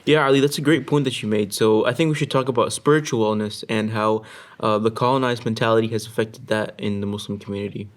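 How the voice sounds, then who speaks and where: A man in a relaxed podcast panel asks questions and keeps the conversation moving. His voice sits at an ordinary pitch and his tone is casual. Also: fast talker. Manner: casual, prompting others. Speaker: podcast co-host.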